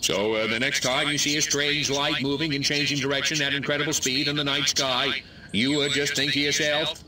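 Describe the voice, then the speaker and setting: A man's voice: medium pitch, fairly even intonation, fairly quick, bright, forceful tone, recorded from a distance, slight background noise. A man voicing a pompous, blustering small-town American mayor in a video game. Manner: pompous, folksy, stalling.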